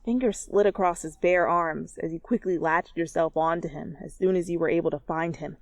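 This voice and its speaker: A woman giving an expressive, theatrical amateur reading of fan fiction as narrator. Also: fairly low pitch, moderate pace, no room sound. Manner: animated, theatrical.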